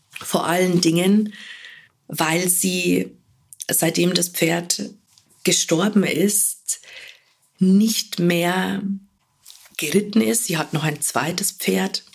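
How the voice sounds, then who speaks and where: Slow, husky woman with a cold. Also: lowish voice, fairly even intonation. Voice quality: husky, gravelly. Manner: gentle, earnest, with fillers and repeated words. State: hoarse from a cold. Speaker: podcast host, animal communicator. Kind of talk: solo podcast monologue.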